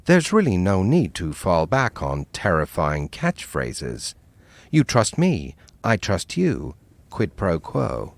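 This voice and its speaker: A patient support-group leader, played by a man, speaks soothingly in a very deep voice. His pitch still moves about a lot. It is recorded close and dry. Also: wildly swooping pitch, slow pace, gravelly, dry recording, exaggerated voice. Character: calm male leader of a villains' support group. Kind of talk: comedy sketch acting.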